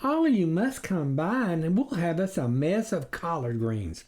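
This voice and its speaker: A man's voice: fairly high pitch, big pitch swings, measured pace, a little mellow, pitched above the performer's own voice. A man voicing a polite, practical farmer's wife in a storybook, homely and folksy. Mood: neighborly. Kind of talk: storybook character reading.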